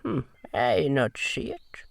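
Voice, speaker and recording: A man voices a big, gentle, wrinkly male creature in a fantasy audio drama. His speech is halting and simple, in broken grammar, very slow and creaky, with big swoops in pitch. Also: sing-song, heavily acted. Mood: slow, caring.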